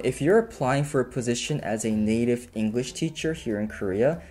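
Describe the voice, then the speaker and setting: A young man in his twenties giving an upbeat, scripted explainer to camera from a small bedroom. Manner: upbeat, clear and instructive, lively pitch. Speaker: vlogger explaining a teaching program. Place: small bedroom apartment, indoors.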